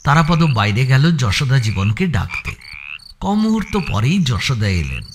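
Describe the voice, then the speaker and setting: A man's voice: medium pitch, very animated, normal speed, a little hiss or hum. A man reading scripted story narration for an audio book.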